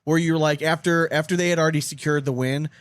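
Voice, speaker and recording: A man's voice: a little high, steady tone, fast, dry recording. A man hosting a podcast, asking questions in a casual, conversational way. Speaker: podcast host.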